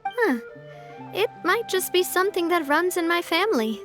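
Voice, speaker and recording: A young lady leader from a video game. Her voice is high and gentle, with an earnest, graceful delivery over faint background music. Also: very animated, moderate pace. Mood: cheerful. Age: young adult. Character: young adult female gang boss, warm, sincere, graceful.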